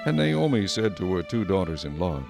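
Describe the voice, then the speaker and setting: A male narrator reading scripture in a measured, solemn way, with music playing behind him. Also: deep voice, sing-song, gravelly.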